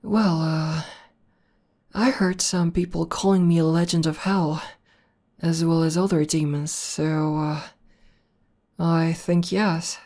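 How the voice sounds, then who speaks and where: A woman playing a proud, battle-hardened tomboy demon girlfriend in an intimate ASMR roleplay, talking conversationally with thoughtful pauses. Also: deep voice, steady tone, dark tone. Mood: calm, boastful. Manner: unhurried, hesitant pauses, conversational.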